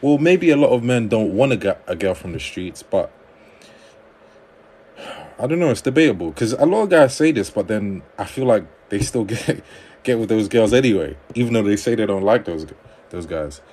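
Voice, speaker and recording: A man hosting a livestream on dating advice, reading viewer comments aloud and answering them in a relaxed conversational way. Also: fairly low pitch, normal speed.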